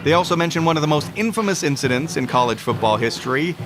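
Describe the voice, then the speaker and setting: A man's voice: fairly high pitch, lively intonation, quick pace, music in the background. A man hosting a podcast with scripted, explanatory narration. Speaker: podcast host.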